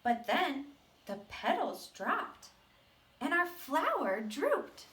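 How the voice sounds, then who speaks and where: Woman as a high-pitched, mischievous little storybook seed. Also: very animated, fairly bright tone, full character voice. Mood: dramatic, fearful. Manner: dramatic, emphatic delivery with drawn-out words. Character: mischievous, self-declared bad little sunflower seed, male, confessional. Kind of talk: animated children's storybook read-aloud. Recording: roomy echo, distant microphone.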